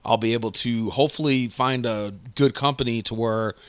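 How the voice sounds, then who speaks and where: A man's voice: mid-range voice, steady tone, normal speed, clean tone, no room sound. A man co-hosting a podcast, chatting casually and rambling with many fillers and restarts. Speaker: podcast co-host. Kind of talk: casual chat.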